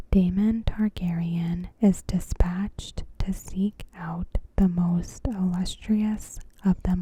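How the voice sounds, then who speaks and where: Female ASMR narrator: whispered, very breathy commentary, extremely unhurried, in short measured phrases. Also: lowish voice, mellow. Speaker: ASMR host. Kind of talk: ASMR, softly spoken TV episode commentary.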